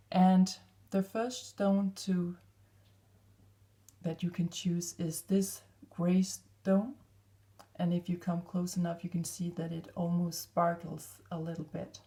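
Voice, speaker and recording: A woman from Denmark with a Danish accent, giving an unscripted spiritual card reading to viewers in a measured, halting way. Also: lowish voice, warm tone. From northwestern Denmark. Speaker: tarot and oracle card reader.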